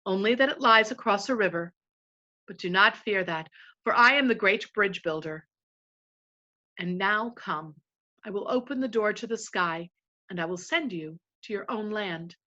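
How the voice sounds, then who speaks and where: A woman voicing a huge, wise male lion who speaks gravely and tenderly, a little lower than her own voice. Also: normal speed, bright, forceful tone, dull audio, close to an everyday voice. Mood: gentle solemn.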